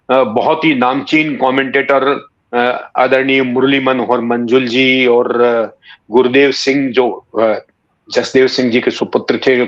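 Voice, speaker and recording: A man in his sixties from Rajasthan reminisces in a conversational interview, telling stories in an unhurried way with hesitant pauses. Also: mid-range voice. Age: 60s. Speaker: interview guest, writer and former cricket commentator. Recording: dull, muffled lo-fi audio.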